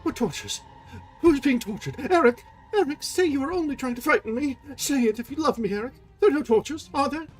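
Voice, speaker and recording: A man voicing a frightened, pleading young woman in a high, breathless voice for a storybook reading. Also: sing-song, fairly quick, faint music behind.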